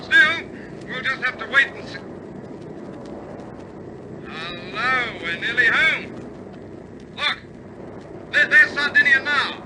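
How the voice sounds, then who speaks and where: A man's voice: extremely high pitch, takes it slowly, long pauses. An actor playing a loyal, anxious bomber crewman in a war radio drama, reporting earnestly to his skipper. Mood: concerned. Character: loyal male airman on a crippled bomber, dogged, anxious. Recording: loud aircraft engine drone. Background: aircraft engine noise.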